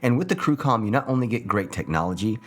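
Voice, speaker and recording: A man's voice: fairly low pitch, lively intonation, fast. A man hosting a video review with an emphatic presenter's delivery. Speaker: video host.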